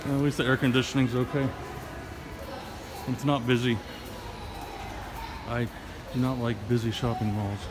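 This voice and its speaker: A man's voice: mid-range voice, level tone, unhurried, long pauses, over music. A middle-aged man, chatting casually and grumbling to a handheld phone camera as he walks. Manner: chatty, grumbling asides while walking. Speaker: vlogger. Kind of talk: casual walking vlog. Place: outdoors on streets, then inside a shopping mall. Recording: noisy handheld phone audio.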